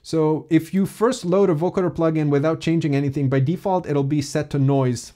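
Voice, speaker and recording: A man in his thirties explains software step by step in an informal, methodical tutorial style, recorded on a close mic. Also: on the high side, steady tone, a little fast, heavy background noise. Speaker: music production tutor. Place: small home studio room.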